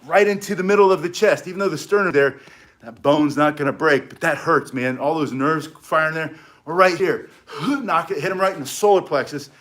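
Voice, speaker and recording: Energetic man teaching self-defense. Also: fairly high pitch, fairly quick. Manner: energetic, chatty, punchy short phrases. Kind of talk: casual instructional demonstration.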